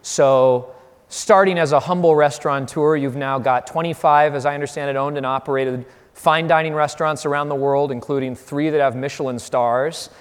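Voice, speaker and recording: A man interviewing on stage, with articulate, formal, measured phrasing. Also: fairly high pitch, steady tone, clear, resonant tone. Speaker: interviewer, event moderator. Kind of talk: prepared onstage interview introduction. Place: large hall, on stage before an audience. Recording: echoey hall, long reverb.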